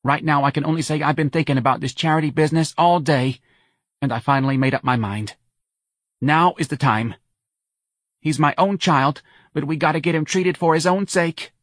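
A man voicing a loud, bossy older woman with a New Orleans accent, brash and pushy in an audiobook story. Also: on the high side, normal speed, dry recording, studio quality, voice pushed up, close to an everyday voice. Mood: urgent, gossipy.

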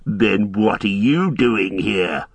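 A man's voice: lowish voice, expressive, slow, creaky, husky, dry recording, exaggerated voice. A man voicing a horde of male orc warriors who jeer and bellow taunts in an audiobook.

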